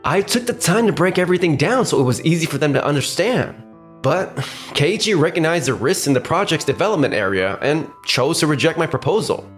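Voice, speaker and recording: A man's voice: on the high side, sing-song, a little fast, soft background music. A man playing an earnest young businessman in a romcom manga dub, with explanatory scripted delivery. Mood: apologetic.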